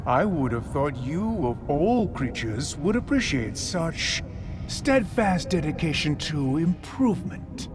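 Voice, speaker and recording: Scheming alien prophet,, pitched a bit high, grandiose game villain over a score. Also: male voice, big pitch swings. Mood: condescending. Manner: grandiose, slow monologuing. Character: scheming alien prophet, pompous male ruler. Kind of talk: video game cutscene acting. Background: music score.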